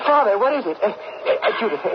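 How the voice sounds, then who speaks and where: An earnest young son in an old radio drama. His voice sits a little high and sounds hesitant and anxious. The recording is muffled and heavily noisy. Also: very animated, a little fast. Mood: shocked.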